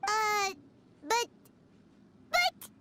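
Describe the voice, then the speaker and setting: A woman voicing a tiny childlike, excitable and fretful companion creature in a video game, with a squeaky voice. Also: drawn-out words, heavily acted. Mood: hesitant. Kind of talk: video game cutscene acting. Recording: loud noise behind the voice.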